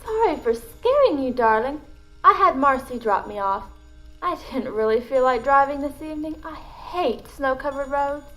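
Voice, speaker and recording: A woman's voice: high-pitched, animated, normal speed, fairly bright tone, faint music behind, rough recording. A woman playing a cool, composed lady in an audio drama, arch and formal in her way of speaking. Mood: arch, casual. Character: poised, cool woman guiding relatives through a will reading.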